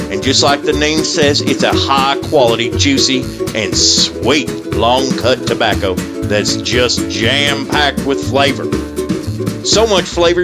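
An actor playing a folksy, enthusiastic cowboy pitchman with a drawl in an old-time radio ad. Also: lightly acted. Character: folksy cowboy pitchman hawking chewing tobacco. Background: loud music.

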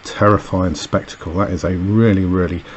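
A man's voice: low voice, fairly even intonation, normal speed, husky. A man teaching a recorded lesson, explaining an extract with many fillers and "okay"s as he annotates it. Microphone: voice-over over a camera filming a page on a desk.

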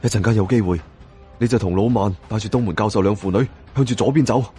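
A man's voice: on the low side, fast, warm tone, slightly rough, soft background music. A man with a Hong Kong Cantonese accent voicing a decisive, urgent male leader in a suspense audiobook. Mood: resolute. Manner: urgent, commanding.

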